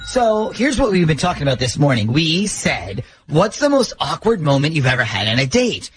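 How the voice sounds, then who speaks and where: A man's voice: fairly high pitch, sing-song, moderate pace, noisy background. An American man hosting a radio show, talkative and joking as he banters and runs phone calls. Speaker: radio host running a prank call segment.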